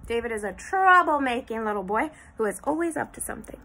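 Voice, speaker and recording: A young woman teacher reading a storybook aloud to children, with playful, expressive comments. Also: a little high, fast talker. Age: young adult.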